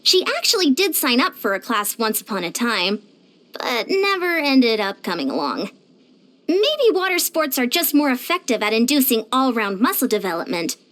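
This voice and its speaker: A very high, lively voice for a small but determined fitness coach in a video game. She speaks, chatty and confident, with pitch that moves around a lot. Her delivery is earnest and plainspoken. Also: moderate pace. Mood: warm admiration. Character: short, determined, energetic young female fitness coach. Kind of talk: animated video game character voice lines.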